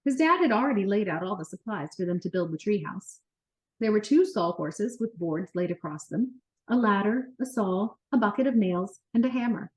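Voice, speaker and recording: A woman reads a children's story aloud in a mid-pitched voice. Her delivery is expressive and animated, shaped for young listeners as a storyteller's narration. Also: moderate pace, poor audio.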